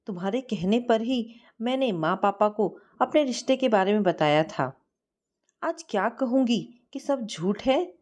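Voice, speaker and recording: Storybook role: young female sweetheart, emotional and anxious, quick to flare, very animated intonation performed by a woman storyteller. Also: fairly high pitch. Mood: hurt, angry. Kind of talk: audio storybook dialogue.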